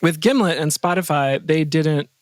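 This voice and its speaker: A middle-aged man chatting casually as an interview guest, often halting and restarting his sentences mid-thought. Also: a little high, dry recording, studio quality. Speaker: podcast guest, composer and sound designer.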